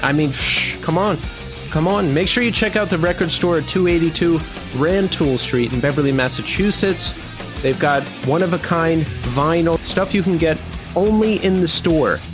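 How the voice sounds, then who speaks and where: An upbeat man hosting a podcast, his voice sitting a bit higher than most. He talks in a chatty, easygoing way. Faint guitar music plays underneath. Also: moderate pace. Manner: upbeat, chatty, laughs easily. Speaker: podcast host. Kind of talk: podcast interview with ad read.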